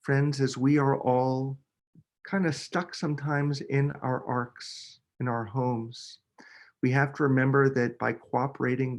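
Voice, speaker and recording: A man, a children's book author, reading a picture book aloud with expressive, dramatic emphasis. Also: mid-range voice, gravelly. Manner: expressive, dramatic delivery with emphasis on key words.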